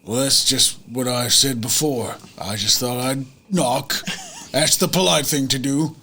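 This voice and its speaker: A man's voice: mid-range voice, unhurried, pushed and bright. A man playing a tabletop roleplay game, describing his character's actions with dry, sarcastic banter. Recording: noisy room ambience.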